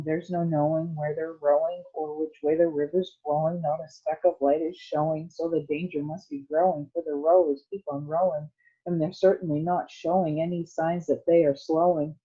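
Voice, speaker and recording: A reader voicing an eccentric, excitable male chocolatier who shouts and plays with words. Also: medium pitch, moderate pace, husky, muffled recording. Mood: excited. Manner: giddy, shouting, rhyming wordplay.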